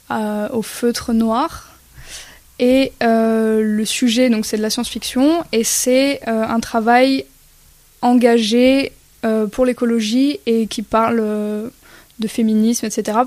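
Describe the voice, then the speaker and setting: A woman from Spain speaks as a guest artist in a radio interview, her voice fairly high. She answers thoughtfully, stopping with fillers as she searches for words. The recording is dry and close. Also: darkish tone, no echo.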